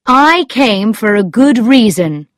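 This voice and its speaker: Woman doing a lord guy from a storybook, raised voice pitch, super earnest and awkward, in love, swoops around. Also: big pitch swings, slow, no room sound, clean studio sound. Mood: earnest, loving. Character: earnest lord and ocean scientist, a man, hesitant, lovestruck.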